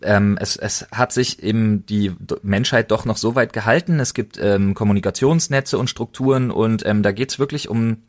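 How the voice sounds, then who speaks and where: A man's voice: on the low side, normal speed, hardly any pauses. A man chatting casually as a podcast co-host, enthusiastic and emphatic with plenty of slang.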